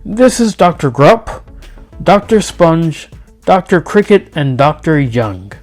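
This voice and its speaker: A fussy, bumbling storybook doctor, as a male reader plays him. He speaks deliberately and emphatically, pitched somewhat high, with a ponderous air. Music sits behind the voice. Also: sing-song, a little mellow.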